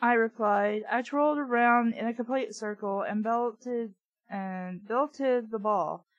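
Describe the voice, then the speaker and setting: A woman's voice: a little high, takes it slowly, dry recording, dull audio. A woman reading a book aloud casually, with blunt, sweary, opinionated off-the-cuff commentary. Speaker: reader and commentator of a children's book.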